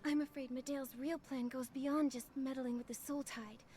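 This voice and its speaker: A young fantasy game heroine , played by a woman, with a high and gentle voice. She speaks sincerely and in measured scripted lines. Faint ambient noise sits behind her. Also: steady tone, close to an everyday voice. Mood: worried, grave. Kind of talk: scripted video game dialogue.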